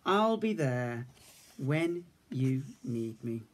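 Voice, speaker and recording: A man's voice: mid-range voice, very animated, drawn-out words, a little hiss or hum. A man voicing a big, caring parent bear, reassuring and encouraging in a picture-book read-aloud. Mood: soothing. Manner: reassuring, praising, calling to a little one.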